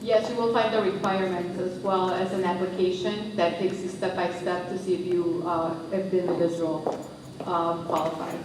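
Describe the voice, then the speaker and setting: A woman's voice: on the low side, flat delivery, normal speed. A woman presenting a housing program, giving matter-of-fact, precise answers to questions at a public meeting. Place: village board meeting room. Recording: echoey noisy hall, picked up from far off.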